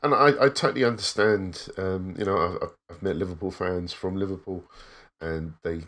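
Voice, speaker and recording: A man co-hosting a casual podcast, telling his story in a thoughtful, measured way. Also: sits low, fairly bright tone. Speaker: podcast co-host. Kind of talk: casual chat.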